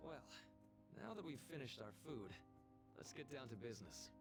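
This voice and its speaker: A man voicing an earnest, polite counselor and researcher in scripted game dialogue, speaking in a measured, formal way. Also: medium pitch, big pitch swings, breathy edge, soft background music. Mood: grateful, composed.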